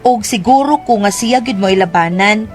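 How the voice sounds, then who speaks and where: A woman's voice: mid-range voice, moderate pace, faint music behind, close to an everyday voice. An actress playing a troubled young woman in a radio drama, asking questions and telling her worries about her sister.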